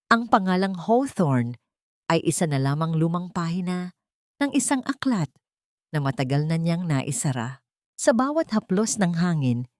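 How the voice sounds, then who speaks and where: Low-pitched woman narrating a love story. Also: a little mellow, no echo, studio quality.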